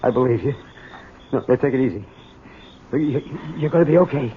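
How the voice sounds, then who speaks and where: A man's voice: medium pitch, a little fast, natural voice. An actor playing an anxious, honest young son in a 1970s radio drama, stammering and repeating his words with emotion. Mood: soothing. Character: anxious, earnest grown son, honest working Joe. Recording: dull, faintly noisy 1970s radio recording.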